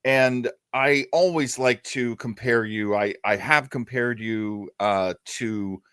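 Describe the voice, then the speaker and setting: A man's voice: mid-range voice, slow pace, fairly bright tone, clean tone, no room sound, studio quality. A man co-hosting a radio show, chatting casually and hesitantly, with playful self-deprecation as he introduces old-time radio.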